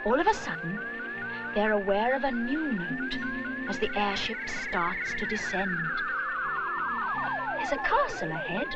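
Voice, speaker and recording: A woman reading a children's story with expressive, dramatic narration. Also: medium pitch, measured pace, long pauses. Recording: noisy recording.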